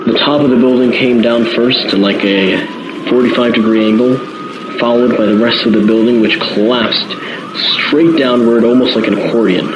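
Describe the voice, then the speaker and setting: A man's voice: medium pitch, steady tone, moderate pace, lightly acted. A man playing an earnest, awed eyewitness radio reporter giving a live report in an audio drama. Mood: awed, descriptive. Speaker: field reporter. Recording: noisy, muffled outdoor radio link.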